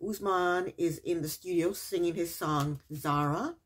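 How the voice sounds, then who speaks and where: A woman's voice: fairly low pitch, a little slow, husky. A woman recapping a reality TV episode in a casual, chatty way, with sarcastic asides and repeated punchlines.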